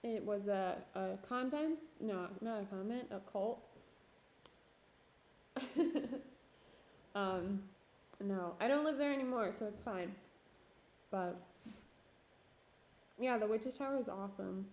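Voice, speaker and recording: A woman's voice: medium pitch, slow pace, long pauses, darkish tone, a little hiss or hum, low-quality recording. A young woman pottery streamer chatting casually with her chat while she works, with lots of fillers and laughs, and her voice starting to go. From Minneapolis–Saint Paul area. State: losing her voice. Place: home pottery studio.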